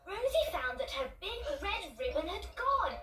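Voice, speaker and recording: Female children's storyteller, very lively intonation, roomy distant sound with prominent music. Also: very high-pitched. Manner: animated, deliberate storybook delivery. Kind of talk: expressive children's story narration. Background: loud music.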